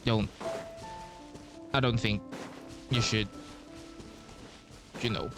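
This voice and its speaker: A man's voice: lowish voice, steady tone, extremely slow. A young man giving casual, laid-back playthrough commentary as a gaming streamer, with muttered asides. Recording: game music in background. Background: game music.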